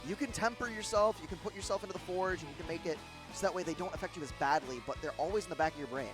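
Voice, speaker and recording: A man's voice: fairly high pitch, very fast. A young man with a Midwestern accent, commentating a live gaming tournament in a rambling way, with fillers and restarts. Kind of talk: live esports play-by-play commentary. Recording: guitar game music underneath.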